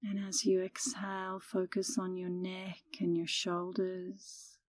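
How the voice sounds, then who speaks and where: Low-pitched woman guiding a calm meditation. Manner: calm, soothing, unhurried. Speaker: meditation instructor. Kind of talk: guided meditation narration.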